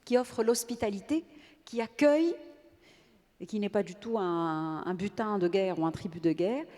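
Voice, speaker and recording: A woman's voice: mid-range voice, moderate pace, mellow. Reflective woman moderating a panel. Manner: reflective, hesitant, questioning. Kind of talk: panel discussion. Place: large theatre on stage. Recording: long theatre reverb.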